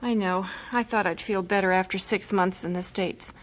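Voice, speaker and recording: A woman's voice: medium pitch, fairly even intonation, moderate pace, natural voice. Weary, determined missionary mother played by a woman. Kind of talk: audio drama acting.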